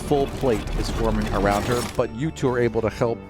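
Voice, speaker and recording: Male game master, mid-pitched, casual tabletop narration over light background music. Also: steady tone, fairly quick. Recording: music playing under the voice.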